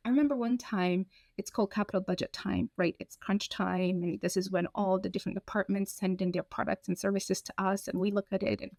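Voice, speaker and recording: A woman in her thirties from Ethiopia, telling her own story in an interview, candid and animated, often acting out what people said. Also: mid-range voice, brisk, vocal fry, hardly any pauses, dry recording. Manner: frank, animated, acts out quoted dialogue. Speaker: guest.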